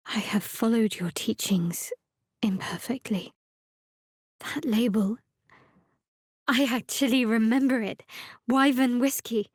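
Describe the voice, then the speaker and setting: A young female cleric sworn to a dark goddess in a fantasy video game. Her voice sits a little high and is captured very dry and close. She is guarded and sardonic, with a measured, dramatic delivery. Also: no echo. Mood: reflective, solemn.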